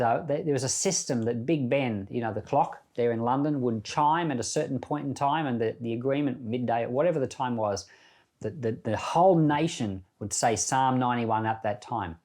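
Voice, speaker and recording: A male pastor speaks in a middle-pitched voice on a Bible podcast. He reads scripture and talks through it in a conversational, reflective way, like informal devotional teaching. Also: darkish tone.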